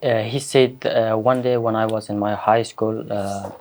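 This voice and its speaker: A young man from Afghanistan, a student guest in a studio, answering in a halting, earnest way with many pauses. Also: on the low side, steady tone, a little mellow, a little hiss or hum. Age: young adult. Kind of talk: hesitant interview answers.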